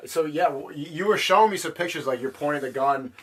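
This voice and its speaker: A man's voice: fairly high pitch, fast talker, fairly bright tone, low-quality recording. A man hosting a casual chat, hesitant and often repeating words. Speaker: podcast host.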